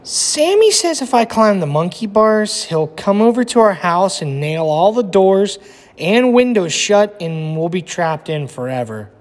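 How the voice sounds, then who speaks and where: A man's voice: high voice, big pitch swings, unhurried, pitched above the performer's own voice. A man reading a storybook aloud, voicing a timid but plucky young boy in an earnest, exaggerated child voice. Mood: worried. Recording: echoey room with long reverb.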